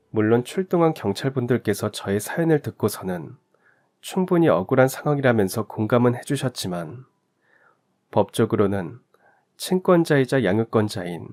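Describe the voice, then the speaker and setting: A man's voice: mid-range voice, a little mellow. A man reading a first-person story aloud in an earnest, confiding storytelling manner. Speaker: story narrator. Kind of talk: scripted first-person narration.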